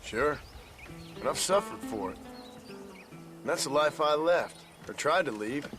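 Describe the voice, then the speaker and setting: An actor playing a gruff, weary former outlaw cowboy with an American accent, speaking plainly and haltingly in a video game drama. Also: male voice, a little high, animated, music in the background. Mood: weary, reflective. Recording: faint outdoor background noise.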